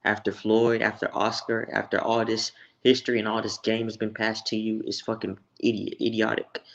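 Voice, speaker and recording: A man's voice: fairly low pitch, monotone, moderate pace, vocal fry, lo-fi sound. An American man from Texas giving blunt, profane opinions in a casual, slangy sports chat. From Texas, United States.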